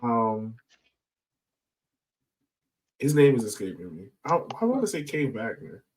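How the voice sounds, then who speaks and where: A man's voice: medium pitch, very animated, measured pace, darkish tone, recorded from a distance, rough recording. A man hosting a casual podcast discussion in a conversational way, often leaning on "like" and "you know". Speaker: podcast host.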